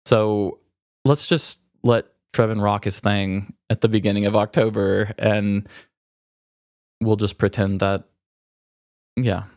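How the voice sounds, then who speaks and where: Male podcast host, lower register, dry sound; informal, hesitant, openly emotional with grief. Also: flat delivery, takes it slowly, pauses often, darkish tone, no echo. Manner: hesitant, self-revealing, informal. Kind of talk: candid, unscripted casual chat.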